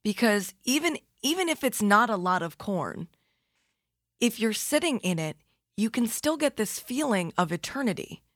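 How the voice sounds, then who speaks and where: A woman's voice: fairly high pitch, very animated, normal speed, dry recording. A woman hosting a radio interview in a studio, asking curious, eager follow-up questions in a conversational way. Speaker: radio host.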